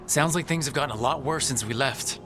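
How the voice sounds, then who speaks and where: A man's voice: mid-range voice, brisk, over music, close to an everyday voice. An actor playing a level-headed, cautious male leader of adventurers in a fantasy game. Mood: steady, sober. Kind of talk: game dialogue acting.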